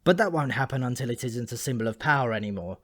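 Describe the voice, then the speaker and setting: A man's voice: medium pitch, expressive, quick pace, fairly bright tone, no echo, studio quality. Man playing a gloomy, grumbling ancient dwarf. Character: ancient male sulphur dwarf, tipsy, dyspeptic, grudgingly friendly.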